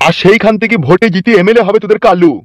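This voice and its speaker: A man's voice: sits high, fast, no room sound, clean studio sound, close to an everyday voice. A man playing a scheming, lovestruck crooked uncle in comic cartoon dialogue, melodramatic, coarse and boastful.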